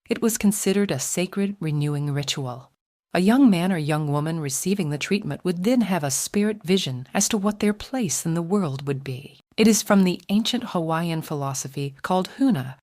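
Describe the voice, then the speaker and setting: Woman playing a calm, low-voiced, serene housekeeper. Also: dry recording, clean studio sound. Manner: measured, soothing, formal. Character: calm, gentle Polynesian housekeeper, knowing and serene. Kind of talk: audiobook character dialogue.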